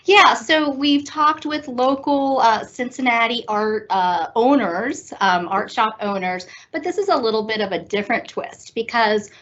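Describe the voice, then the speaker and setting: A woman co-hosting a local talk show, chatty and quick to laugh. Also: fairly high pitch, fairly bright tone. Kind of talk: casual chat. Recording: dull lo-fi sound.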